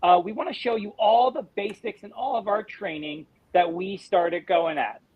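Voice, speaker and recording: A host moderates a live video chat in a relaxed, casual way and hands the word to each guest. The voice is husky and mid-pitched. It comes through a thin, phone-like connection. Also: moderate pace. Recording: tinny phone-like video call.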